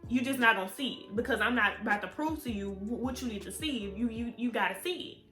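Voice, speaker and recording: Bright-voiced young woman vlogging. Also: a little high, a little fast. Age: 20s. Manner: candid, chatty, talks straight to viewers. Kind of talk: casual storytime vlog. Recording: echoey room with faint music.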